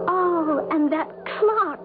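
An actress in a radio drama playing a sensitive, romantic wife who speaks with dramatic hesitations. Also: female voice, very high voice, heavily acted. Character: sensitive, eager young-ish wife, nervous and romantic. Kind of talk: old-style radio drama acting and narration. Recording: tinny radio broadcast with music.

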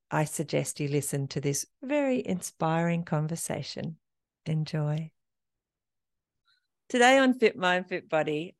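Chatty low-voiced woman podcast host. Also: vocal fry, no room sound, studio quality. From Australia. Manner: enthusiastic, chatty, warm laughter. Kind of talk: podcast interview.

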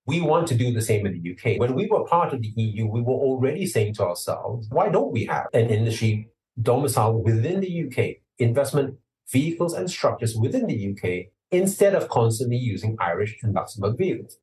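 A man from Singapore, a lawyer, answering interview questions in a measured, explanatory way that turns emphatic at points. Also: mid-range voice, animated, a little mellow, far from the mic, poor audio.

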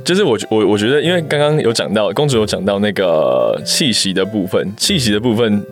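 A man with a Taiwanese accent co-hosts a casual chat in a fairly low voice. He talks fast with lively intonation, self-deprecating and doubling back on his words. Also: over music. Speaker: podcast co-host.

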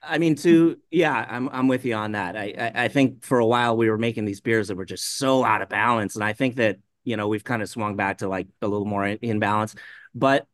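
A man's voice: fairly low pitch, brisk, no echo, clean studio sound. A man from the Pacific Northwest, a brewer talking shop in a casual podcast chat, rambling with lots of "you know" fillers. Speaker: brewer guest.

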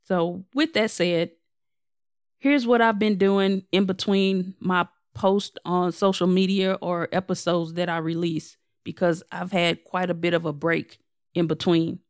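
Slightly hoarse woman hosting a chatty podcast. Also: fairly low pitch, steady tone, unhurried, dry recording, studio quality. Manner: chatty, candid, self-deprecating. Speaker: podcast host. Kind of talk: casual solo podcast update.

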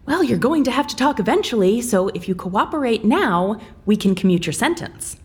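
An actress playing a flustered, unsure agent questioning a prisoner in an audio drama, hesitant and stammering. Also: female voice, fairly high pitch, sing-song, normal speed, darkish tone, slightly rough, full character voice. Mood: stern.

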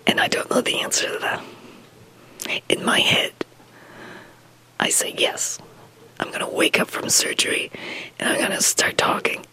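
A woman schoolteacher speaking in an effortful, breathy whisper with very little voice behind it. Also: moderate pace, pauses often, pushed and bright, rough recording. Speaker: French immersion elementary teacher.

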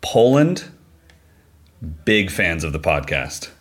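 A young man co-hosting a podcast in casual chat, animated, often jumping up in pitch to act out quotes. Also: slow. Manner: animated, acts out quoted voices with jumps in pitch. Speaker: podcast co-host.

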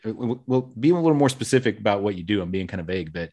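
Deep-voiced guy hosting a podcast, chatty, upbeat, cracks jokes at himself. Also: animated, racing, mellow, muffled recording. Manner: talkative, upbeat, self-deprecating jokes. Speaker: podcast host. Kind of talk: casual podcast interview.

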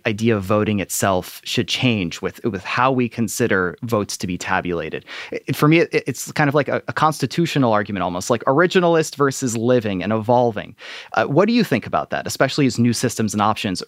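A male interview host with a fairly low voice and a quick pace. His delivery is informal and appreciative, and the sound is dry and close. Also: no echo. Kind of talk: conversational interviewing.

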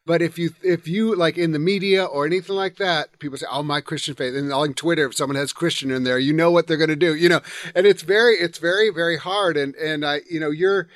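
Enthusiastic host, slightly high voice. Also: male voice, dry recording. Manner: enthusiastic, halting with restarts. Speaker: radio show host. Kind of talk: interview conversation.

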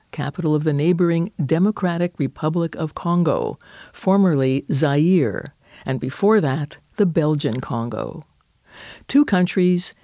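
A woman radio host reading a measured, precise introduction and then interviewing a guest. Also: low-pitched, warm tone, dry recording, studio quality.

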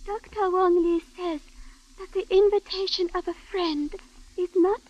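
An actress playing a composed, formal Chinese servant woman in a 1950s radio drama, speaking in measured, ceremonious phrases. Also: very high voice, steady tone, a little mellow. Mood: solemn, insistent. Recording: dull vintage radio recording.

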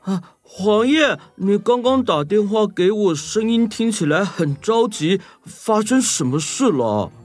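A man's voice: high voice, big pitch swings, unhurried, few pauses, soft background music, exaggerated voice. A man voicing an earnest, easily offended male sea turtle in a children's detective story. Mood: concerned. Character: indignant male green sea turtle who loves singing.

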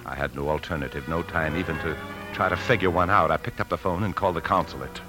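A very deep, confiding American man's voice tells of a bank theft from the inside. He is anxious and tightly wound, in classic 1950s radio drama style, with music on the recording. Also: steady tone, quick pace. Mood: tense narrating. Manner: tense, confiding. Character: anxious male bank officer secretly stealing a fortune. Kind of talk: 1950s radio drama acting with first-person narration.

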